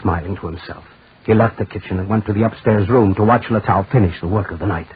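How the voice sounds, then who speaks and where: A man's voice: lowish voice, fast talker, husky. A man narrating grave, dramatic horror in 1940s radio style with emphatic repetition. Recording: muffled scratchy 1940s radio recording.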